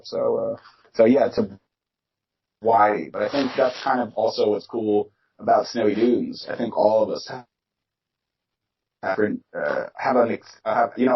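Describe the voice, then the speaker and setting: A man's voice: on the low side, creaky, slight background noise. Rambling man from Philadelphia, lo-fi. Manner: rambling, many fillers and restarts. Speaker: musician guest. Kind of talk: casual interview chat. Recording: dull lo-fi remote audio.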